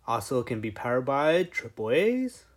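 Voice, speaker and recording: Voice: medium pitch, big pitch swings, normal speed. A product reviewer giving casual unboxing commentary, informal and thinking aloud. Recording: clearly noisy home recording with clicks.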